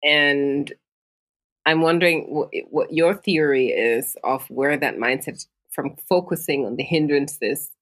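A woman's voice: deep voice, takes it slowly, fairly bright tone. A woman hosting a podcast, asking long thoughtful questions in a conversational interview. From Africa. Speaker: podcast host.

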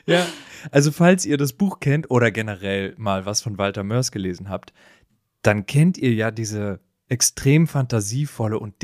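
A man's voice: on the low side, darkish tone, no room sound, clean studio sound. A man co-hosting a podcast in casual, humorous chat, with a wry, amused, teasing way of talking. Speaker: podcast co-host.

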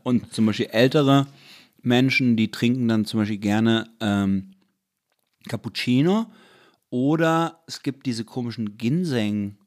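A man's voice: medium pitch, measured pace. A man from Germany in casual chat, telling stories with many restarts and fillers. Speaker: conversation partner.

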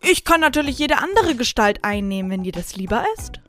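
A woman's voice: on the high side, very animated, a little fast, soft background music. A woman playing a cheeky, chatty, hungry wish angel in an amateur comedy audio drama. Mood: breezy.

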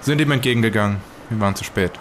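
Man playing a halting, low-voiced shaken survivor. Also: a little hiss or hum, lightly acted. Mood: resigned. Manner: halting, searching for words. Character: shaken male survivor of monsters on a storm-bound island. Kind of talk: improvised in-character retelling, audio drama.

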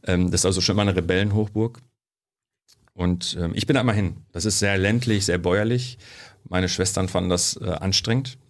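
A man from Germany, a sociologist guest, telling stories in a relaxed studio interview, with lots of fillers. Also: sits low, brisk. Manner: chatty storyteller, frequent fillers.

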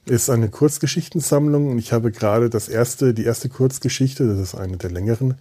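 A man talks casually to podcast listeners in a mellow, rather raspy voice at a middling pitch. He rambles, breaks off and repeats words as he searches for the next thought. His delivery carries a wry, self-mocking humor. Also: moderate pace. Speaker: podcast host.

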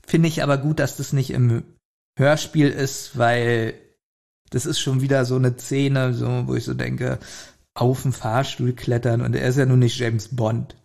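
A man's voice: mid-range voice, flat delivery, a little slow, gravelly, a little mellow. A man co-hosting a podcast, commenting on a story in informal, opinionated chat. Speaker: podcast co-host. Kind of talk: casual chat reviewing an audio drama.

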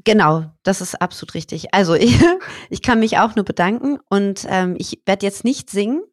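A woman's voice: medium pitch, moderate pace, dry recording. Host: woman, chatty and joking, slight cold, dry close sound. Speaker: podcast co-host. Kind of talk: casual podcast chat.

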